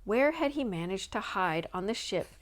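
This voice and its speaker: A woman's voice: mid-range voice, animated, normal speed. A woman narrating a children's mystery novel as a scripted read-aloud. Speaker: audiobook reader.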